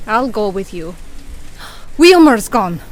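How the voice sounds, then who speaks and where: A woman voicing Cairo, a high-strung crook who shrieks insults, in a community radio play. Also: sing-song, takes it slowly, darkish tone. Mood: excited.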